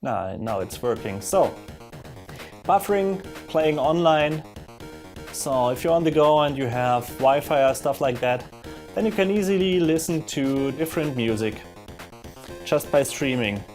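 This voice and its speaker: A man narrating a casual software screen demo in a halting way, thinking aloud as he goes. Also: fairly high pitch, expressive. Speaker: software demonstrator. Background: music from audio players being demonstrated.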